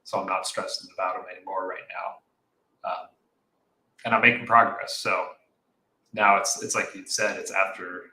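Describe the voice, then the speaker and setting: Deep, bright-voiced man in an echoey room. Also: fairly even intonation. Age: 30s. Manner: measured, reflective, with restarts. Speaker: podcast guest. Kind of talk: casual conversation.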